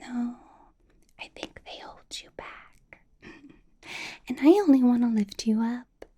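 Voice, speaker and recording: A woman performs a female vampire boss in an ASMR roleplay, whispering in a breathy, hushed voice. She speaks slowly and deliberately, doting on the listener with possessive sweetness. A controlling menace sits under the soft, mellow tone. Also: on the high side, steady tone. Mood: controlling, sweetly menacing. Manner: breathy, slow whispering with soft little laughs.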